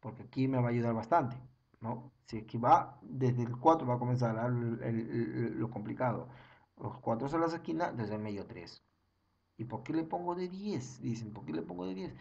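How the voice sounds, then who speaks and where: A man's voice: mid-range voice, lively intonation, moderate pace, gravelly, a little mellow, lo-fi sound. A young man, teaching an online chemistry class over slides and counting through each step again and again. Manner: repetitive, step-by-step counting, frequent "ojo" and "ya".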